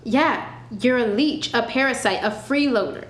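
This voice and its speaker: A woman's voice: fairly high pitch, moderate pace, close to an everyday voice. A woman playing an exasperated host standing her ground in a radio thriller, firm, terse and indignant. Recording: echoey room, faint background noise.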